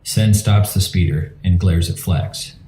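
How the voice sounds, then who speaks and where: A man's voice: sits low, unhurried, mellow. A man reading scripted scene directions as the narrator of an audio drama, with a roomy echo.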